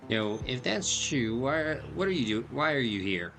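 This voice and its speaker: A man's voice: mid-range voice, big pitch swings, normal speed, a bit bright, soft background music, low-quality recording. A man streaming a game, giving casual, joking, slangy commentary as he plays.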